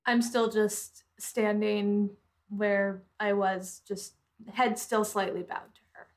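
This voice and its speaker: A woman's voice: mid-range voice, fairly even intonation, slow pace. A woman playing a tabletop roleplaying game, chatting casually and saying what her character does.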